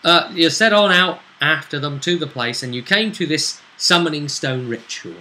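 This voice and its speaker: A man's voice: a little high, moderate pace, pushed and bright, slight background noise. A man casually recapping a tabletop role-play session as game master, rambling as he explains it.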